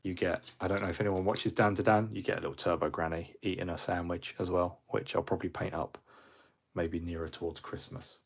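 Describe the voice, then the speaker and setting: A man's voice: sits low, vocal fry, slight background noise. A middle-aged man, hosting a hobby livestream in a rambling, friendly way, full of ums and restarts, a little fluey. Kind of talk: casual livestream chat.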